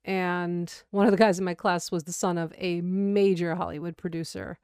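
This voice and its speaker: Young woman host, friendly and chatty, smooth clear tone, dry recording. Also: lowish voice, normal speed, no room sound. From United States. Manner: friendly, chatty, lively storytelling. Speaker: interviewer. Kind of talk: casual interview.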